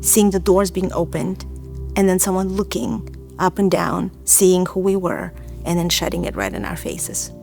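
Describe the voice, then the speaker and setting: A woman from Azerbaijan and Russia telling her personal story in an earnest, reflective, deliberate way. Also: fairly low pitch, lively intonation, vocal fry, darkish tone, soft background music. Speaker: church member giving testimony.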